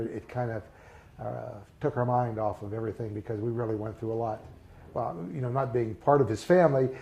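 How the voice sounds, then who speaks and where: An older man reminiscing in a casual interview, speaking haltingly with many fillers and restarts. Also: mid-range voice, vocal fry, dark tone. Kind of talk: recalled personal memories in a casual interview.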